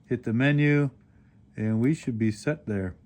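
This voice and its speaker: A man hosting a casual product demonstration, explaining things step by step in a plain-spoken, halting way. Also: medium pitch, a little mellow. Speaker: radio reviewer and host.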